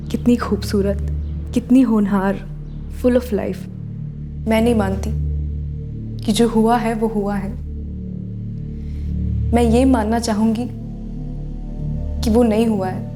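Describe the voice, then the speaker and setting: An actress playing a caring young woman in a TV crime serial, expressive and mixing English into her talk. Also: mid-range voice. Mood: wistful, grieving. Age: young adult. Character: caring, anxious young woman worried about her roommate.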